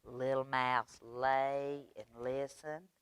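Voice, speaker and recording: A woman reading aloud at a children's storytime, expressive and using simple phrasing for small children. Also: a bass voice, extremely slow, clear, resonant tone, dry recording.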